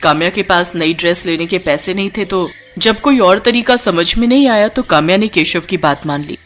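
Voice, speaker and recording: A woman's voice: lowish voice, normal speed, soft background music. Woman narrating a scripted story.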